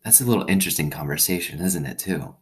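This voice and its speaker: A man reading a children's story aloud like a teacher, pausing to explain simply and check in with little questions. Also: very deep voice, animated. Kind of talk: read-aloud with explanatory asides.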